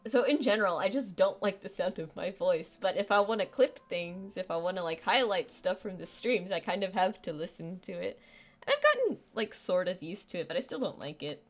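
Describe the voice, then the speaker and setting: A woman's voice: medium pitch, very animated, a little fast. A young woman with a youthful, girlish voice, chatting casually on a live stream, rambling and self-deprecating with lots of restarts and fillers.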